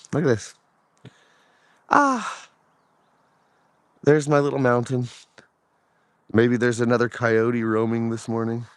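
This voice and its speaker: Hoarse, chatty man on a morning walk. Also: on the low side, dry recording. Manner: rambling, chatty, filler-heavy, asks viewers questions. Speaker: vlogger. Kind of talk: casual talk to camera on a walk. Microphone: phone held while walking.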